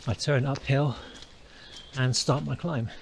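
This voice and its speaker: Guy vlogging his walk, middle-pitched voice, rambling a bit, camera mic outside. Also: fairly even intonation, takes it slowly, creaky, slight background noise. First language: not a Welsh speaker. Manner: chatty, thinking aloud with small restarts. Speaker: hiking vlogger. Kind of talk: walking vlog narration. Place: outdoors on a hillside in the countryside.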